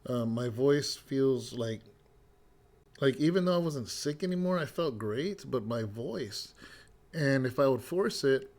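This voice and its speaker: A man with a slightly hoarse, raspy voice, still getting it back after a cold. He speaks at a plain middle pitch, talking loosely and personally to his congregation, with lots of "um" and "you know". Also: a little slow. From California. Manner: relaxed, rambling storytelling with many fillers. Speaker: pastor. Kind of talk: casual spoken devotional update.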